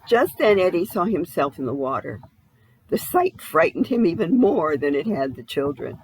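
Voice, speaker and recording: A woman's voice: on the low side, big pitch swings, moderate pace, a little hiss or hum, poor audio. A woman narrating a children's storybook expressively, with a dramatic read-aloud delivery. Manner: dramatic, asks the listener questions. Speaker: storybook reader.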